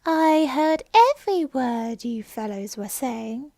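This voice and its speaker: Woman putting on a high, hoarse, sleepy little dormouse. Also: lively intonation, dark tone, no room sound, clean studio sound, full character voice. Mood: drowsy, protesting. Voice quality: hoarse, feeble. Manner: drawn-out, drowsy delivery. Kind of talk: storybook character voice.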